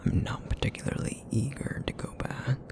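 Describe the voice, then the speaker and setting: A man voicing a broody, feral essence eater in an ASMR roleplay, with a raspy, rumbling murmur and a weary, guarded, reluctant manner. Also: lightly acted. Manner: brooding, reluctant, slow and terse.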